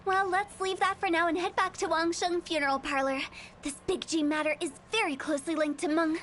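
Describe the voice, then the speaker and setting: A woman's voice: very high-pitched, fairly even intonation, fairly quick, a bit bright, a little hiss or hum. A woman voicing a playful, self-assured young funeral parlor director in a video game, with a breezy, teasing manner. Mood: brisk.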